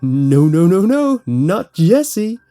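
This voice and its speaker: A man's voice: high voice, very animated, very slow, dark tone, faint music behind, voice pushed up, full character voice. A man voicing a menacing clown with a silly, goofy cartoon voice, teasing and falsely friendly, in a horror story.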